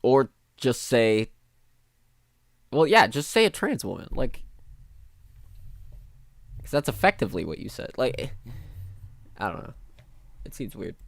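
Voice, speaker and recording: A man's voice: mid-range voice, very animated, unhurried, vocal fry, long pauses, no room sound. A man with a nasal voice giving blunt, sarcastic and profane live reaction commentary as a streamer.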